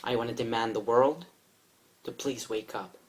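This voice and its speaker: A man from Israel giving an impassioned statement to camera, speaking in short, emphatic phrases with deliberate pauses. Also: mid-range voice. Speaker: video commentator.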